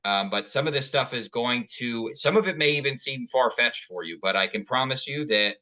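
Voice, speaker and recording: A man's voice: medium pitch, expressive, normal speed, bright, forceful tone. A man hosting a spiritual podcast, talking in an earnest, rambling way with frequent fillers. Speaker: podcast host. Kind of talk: casual interview chat.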